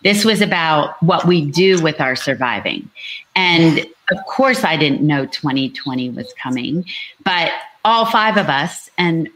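Woman author chatting at a book launch, voice a bit on the low side, explains things as she goes. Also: lively intonation, measured pace, fairly bright tone, poor audio. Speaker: author discussing her novel. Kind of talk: casual talk at a book launch.

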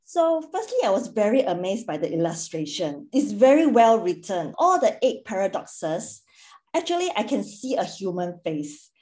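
Lively, mellow-voiced woman from Singapore. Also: mid-range voice, normal speed. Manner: earnest, animated, acts out quoted remarks. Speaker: podcast guest, school board chairperson. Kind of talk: conversational interview answers.

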